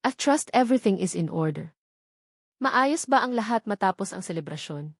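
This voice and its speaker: A woman's voice: medium pitch, expressive, moderate pace, dry recording, studio quality. Woman voicing a stern, commanding old Filipino matriarch. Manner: commanding, formal. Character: stern, authoritative old grandmother, wealthy matriarch.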